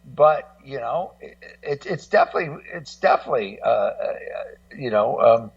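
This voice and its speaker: A man's voice: mid-range voice, animated, normal speed. A middle-aged man talking casually as a guest on a music show, rambling and repeating himself, with some salty language. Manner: rambling, repetitive, salty-tongued. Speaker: guest co-host and music documentary maker. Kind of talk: casual music-business discussion. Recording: muffled, distant-sounding audio.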